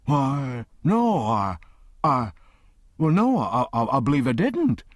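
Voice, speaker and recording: A man voicing a hospitable old gentleman with a Southern accent, coaxing and kindly. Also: mid-range voice, sing-song, slow pace, no room sound, clean studio sound, voice pushed up. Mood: flustered. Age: older. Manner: warm, coaxing, hesitant when flustered.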